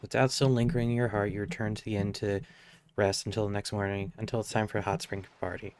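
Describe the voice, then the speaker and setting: A man's voice: fairly low pitch, little pitch movement, quick pace, a little mellow. A man live streaming a game, reading dialogue aloud and adding casual commentary. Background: game music.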